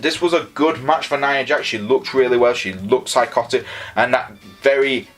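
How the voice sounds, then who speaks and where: A man with a Yorkshire accent giving a chatty, enthusiastic solo review of a wrestling show, often jumping up in pitch on his opinions. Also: fairly bright tone. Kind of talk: casual solo review commentary.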